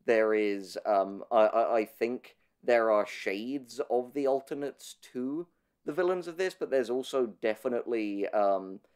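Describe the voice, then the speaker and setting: A man's voice: mid-range voice, measured pace, darkish tone, clear, resonant tone. A man in a conversational analytical discussion, speaking thoughtfully with hesitant, stammering starts as a podcast host.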